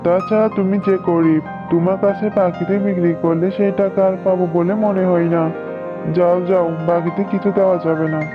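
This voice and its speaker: A woman acts out a tight-fisted cartoon tiger shopkeeper, male, with a lowish, dismissive voice. The sound is thin and phone-like, with clear guitar music behind it. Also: flat delivery, fairly quick, natural voice. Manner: blunt, dismissive. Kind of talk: children's cartoon voice acting. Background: plucked guitar music.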